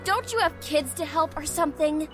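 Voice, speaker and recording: A woman playing a prickly but secretly soft-hearted young woman in a visual novel game, with a guarded, defensive manner. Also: high-pitched, lively intonation, moderate pace, soft background music. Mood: embarrassed, defensive. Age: young adult.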